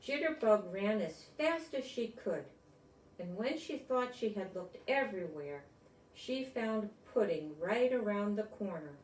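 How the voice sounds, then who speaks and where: An older woman reading a picture book aloud with chatty asides, explaining gently and simply as if to children. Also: medium pitch, animated, unhurried, slight background noise. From United States. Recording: roomy echo, distant microphone.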